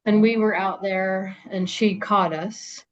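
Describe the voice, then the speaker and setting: A woman in her fifties reminiscing with old classmates, talkative and quick to laugh. Her voice sits mid-range and comes through slightly dull and lo-fi, like a remote call. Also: medium pitch, steady tone. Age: 50s. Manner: chatty, laughs often, storytelling. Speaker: podcast guest. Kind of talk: casual reminiscing chat. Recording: dull lo-fi sound.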